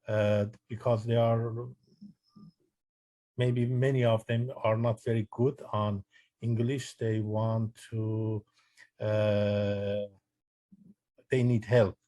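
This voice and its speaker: A man hosting a community meeting, asking questions with halting, filler-heavy speech. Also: medium pitch, warm tone, no room sound. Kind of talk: Q&A moderation.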